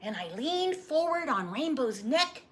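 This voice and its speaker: A woman's voice: sits high, big pitch swings, measured pace, fairly bright tone, voice pushed up, full character voice. A woman voicing an eager, proud young boy with breathless, earnest emphasis in a storybook read-aloud. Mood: thrilled.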